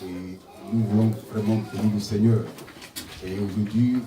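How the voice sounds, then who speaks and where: A man's voice: on the low side, little pitch movement, a little slow. Pastor in his forties, echoey church. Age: 40s. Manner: punctuates phrases with alleluia and amen. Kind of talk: sermon. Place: church hall. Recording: echoey hall, distant mic, noisy.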